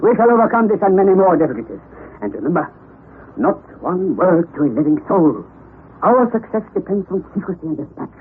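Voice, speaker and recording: An excitable, headstrong professor declaims in old radio-drama fashion. His voice sits a little high and swings widely, through a thin, noisy broadcast recording. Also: fairly quick, phone-quality sound. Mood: bold, determined. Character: eager, headstrong professor uncle, fervent and secretive.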